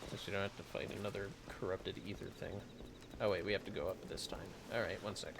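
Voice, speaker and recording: Low-voiced man with creaky, lively delivery. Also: quick pace, background noise. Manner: chatty, joking asides to viewers. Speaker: livestreamer playing an online fantasy RPG. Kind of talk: casual gameplay commentary.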